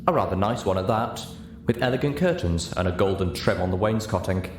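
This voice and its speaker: A man reading a first-person story aloud as scripted audiobook narration. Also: deep voice. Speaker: audiobook reader. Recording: roomy echo, clear background hiss.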